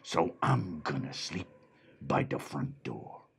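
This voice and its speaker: A man voicing a kindly, steady male guard dog who talks low and reassuringly. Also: slow, creaky, a little hiss or hum, heavily acted.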